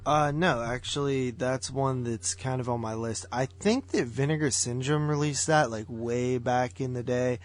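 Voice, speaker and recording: A man co-hosting a podcast, talking about movies in a casual, halting, conversational way. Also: mid-range voice. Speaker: podcast co-host. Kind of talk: casual movie review chat.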